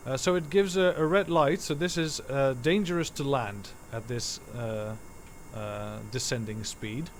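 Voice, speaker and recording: A man's voice: mid-range voice, unhurried, few pauses, a little hiss or hum. A man whose first language is Dutch, giving rambling, self-correcting live commentary while streaming a game from home.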